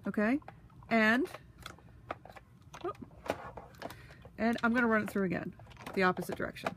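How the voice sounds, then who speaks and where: A woman's voice: mid-range voice, normal speed, heavy background noise. A woman with a stuffy, sniffly nose, chatting casually with lots of fillers while she demonstrates a craft tool. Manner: talks while handling tools. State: congested, sniffly.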